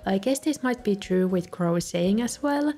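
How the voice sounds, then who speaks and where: A young woman, a native Finnish speaker, calmly narrating a voice-over for her video with soft laughs between remarks. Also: medium pitch, normal speed, faint music behind. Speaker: journaling video creator. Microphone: voice-over recorded separately.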